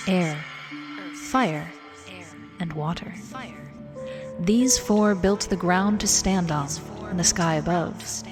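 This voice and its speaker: A woman reading an ancient creation myth as scripted storybook narration in an audio drama. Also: on the low side. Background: prominent background music.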